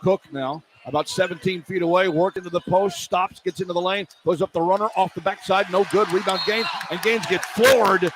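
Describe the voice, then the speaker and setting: A man with a somewhat high voice calls basketball play-by-play for radio. The feed is noisy, coming live from inside an arena. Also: fairly even intonation, a little fast, hardly any pauses. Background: clear arena noise.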